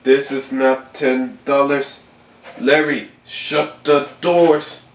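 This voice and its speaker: A performer playing an earnest, bossy preacher man puppet, declaiming a mock church sermon in a halting, pushy way. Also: medium pitch, a bit bright. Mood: exasperated, bossy. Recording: echoey room with background noise.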